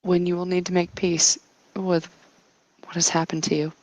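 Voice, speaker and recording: A woman's voice: fairly low pitch, little pitch movement, moderate pace, a little mellow, no room sound, natural voice, low-quality recording. A woman playing a probing, grief-hardened female companion in a roleplaying game, pressing and solemn. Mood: insistent, grave.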